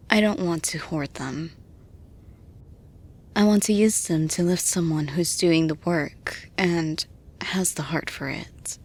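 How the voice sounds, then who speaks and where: A nurturing female boss, played by a woman in an intimate audio roleplay. Her voice is breathy and on the low side, moving very slowly. She soothes in a maternal hush that often slips into a whisper. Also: full character voice. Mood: warm, appreciative. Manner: soothing, hushed, unhurried, often dropping to whispers. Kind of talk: intimate ASMR-style roleplay monologue.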